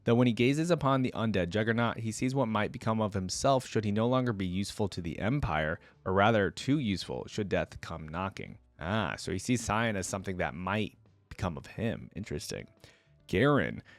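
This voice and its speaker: A man's voice: lowish voice, animated, a little fast, dry recording. A man hosting a reaction video, reading game lore aloud and dropping in informal, casual asides. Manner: informal asides between read passages.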